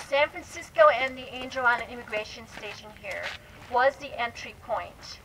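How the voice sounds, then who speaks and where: A woman's voice: a little high, sharp tone, poor audio. A woman giving an informal explanatory history presentation to a live panel event, often hesitating and correcting herself. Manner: explanatory, halting with self-corrections. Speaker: panel speaker, historian and researcher. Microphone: event microphone. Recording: live event mic, faint background noise.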